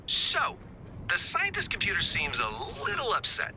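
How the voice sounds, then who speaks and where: A man's voice: sits high, wildly swooping pitch, normal speed, sharp tone, exaggerated voice, poor audio. A man voicing a wry male field agent who leads the mission in a casual, wisecracking manner, in video game voice acting. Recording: game audio with background noise.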